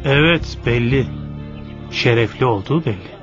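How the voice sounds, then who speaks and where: A man's voice: medium pitch, animated, moderate pace, music in the background, natural voice. An actor playing a nosy, sarcastic townsman in a dubbed religious cartoon, with a teasing, drawling delivery. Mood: mocking. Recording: dull lo-fi cartoon audio.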